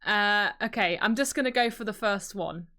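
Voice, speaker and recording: Woman with a mid-pitched voice just chatting on a podcast as co-host, pretty casual. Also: moderate pace, pushed and bright. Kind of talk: casual chat.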